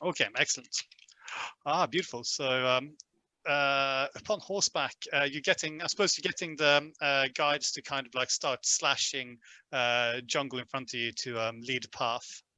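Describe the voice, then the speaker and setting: Gm guy, bright voice, lots of uhs and ums, dry close mic. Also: medium pitch, fairly even intonation, moderate pace, no echo. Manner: hesitant, many fillers. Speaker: game master. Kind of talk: narrating a tabletop game.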